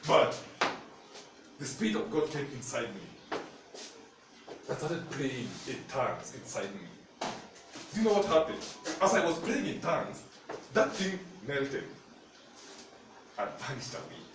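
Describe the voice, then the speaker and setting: Lively Kenyan preacher in echoey hall. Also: male voice, mid-range voice, unhurried, long pauses. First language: Luhya. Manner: animated storytelling, laughing often. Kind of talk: sermon. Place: church hall. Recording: very echoey hall, from far off, noisy.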